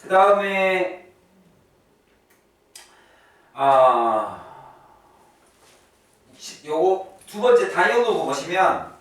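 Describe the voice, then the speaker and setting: A male English teacher lectures in a very echoey room, picked up from a distance. His fairly high voice swings up and down as he stresses and repeats key terms, posing questions and answering them himself. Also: lively intonation, extremely slow, pauses often, fairly bright tone. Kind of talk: classroom-style grammar lecture. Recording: echoey room, distant mic, faint noise.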